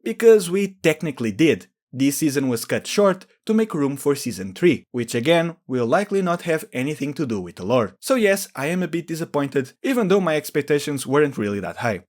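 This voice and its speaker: A man presenting a scripted video essay as a commentator, giving his own opinions on game lore. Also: fairly high pitch.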